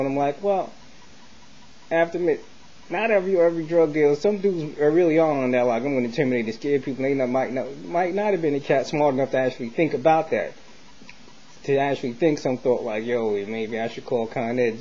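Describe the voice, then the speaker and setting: American guy just rambling on a vlog, real mellow, slangy, repeats himself, kinda dull audio w a bit of hiss. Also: medium pitch, quick pace. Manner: hesitant, repeats and circles back, colloquial street slang. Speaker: vlogger sharing opinions. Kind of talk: casual rambling monologue to camera. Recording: dull lo-fi sound with faint noise.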